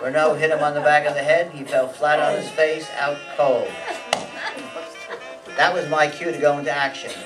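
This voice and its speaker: A man's voice: fairly high pitch, fairly even intonation, normal speed, lightly acted. An older man playing a hard-boiled, sardonic private detective and narrator in a radio play, terse and matter-of-fact. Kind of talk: old-time radio acting and noir narration. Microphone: stand microphone on stage, heard from audience. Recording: live stage pickup from far off, music.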